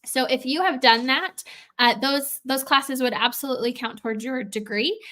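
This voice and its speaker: Woman answering livestream questions, slightly high voice, many ums and uhs. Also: moderate pace, fairly bright tone. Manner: explanatory, frequent "uh" and "um" fillers. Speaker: program staff answering questions. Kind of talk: live-stream Q&A.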